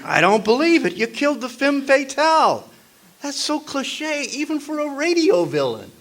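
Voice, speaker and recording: An actor playing a wisecracking, doleful private eye in a noir parody radio drama, with a wry, hammy delivery. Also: male voice, very high voice, sing-song, normal speed, exaggerated voice. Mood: panicky protest.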